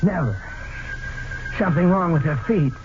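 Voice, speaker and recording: An actor playing a bitter man who accuses the woman he loved, measured and deliberate, in a 1940s radio drama. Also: a little high, natural voice. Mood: quietly accusing. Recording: dull old radio recording, faint noise.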